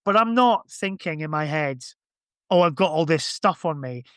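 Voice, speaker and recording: Guy with a lightish high voice, rambly casual podcast chat, really dry sound. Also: expressive, normal speed, a bit bright, clear, resonant tone, dry recording. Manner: rambling, thinking aloud. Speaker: podcast guest or co-host.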